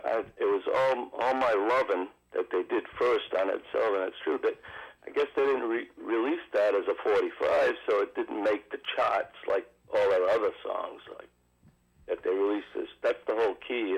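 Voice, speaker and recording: A man's voice: on the low side, normal speed, creaky. A male radio caller with a cold, rambling casually with many fillers. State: has a cold, froggy. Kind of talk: casual call-in chat. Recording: muffled phone line.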